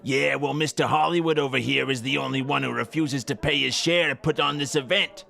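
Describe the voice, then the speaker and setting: An actor playing an irritable, nagging, confrontational mascot in a comedy audio drama. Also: male voice, medium pitch, fairly even intonation, brisk, vocal fry, sharp tone. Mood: indignant. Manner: griping, confrontational.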